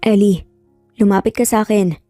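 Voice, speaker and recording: A woman's voice: mid-range voice, fairly even intonation, moderate pace, warm tone, dry recording, clean studio sound, faint music behind, lightly acted. Woman playing a saccharine, haughty rich ex-wife. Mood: falsely sweet. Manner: saccharine, condescending. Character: sweet-faced, scheming, haughty rich ex-wife, villainous.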